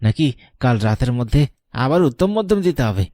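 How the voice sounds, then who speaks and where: A man's voice: medium pitch, big pitch swings, brisk, dark tone, dry recording, lightly acted. Cruel bullying husband acted by a man, dry sound. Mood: bullying angry. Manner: bullying, threatening, contemptuous. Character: cruel, greedy, abusive husband demanding money. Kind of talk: melodramatic cartoon acting.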